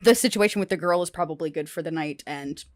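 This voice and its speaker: A woman's voice: lowish voice, quick pace, no echo, clean studio sound. A woman casually narrating and chatting as a player in a tabletop roleplaying game.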